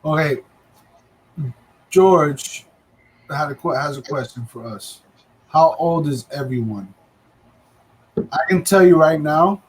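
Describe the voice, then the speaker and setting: A man in his thirties co-hosting a casual livestream, joking and chattering fast with his friends and the chat. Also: on the high side, expressive, breathy edge, rough recording. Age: 30s. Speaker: livestream co-host.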